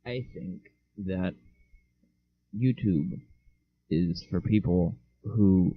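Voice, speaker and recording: A man's voice: low-pitched, drawn-out words, husky, no echo. Joking young man, tinny phone-like sound. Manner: joking, offhand, hesitant restarts. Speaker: podcast co-host. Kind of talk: casual chat.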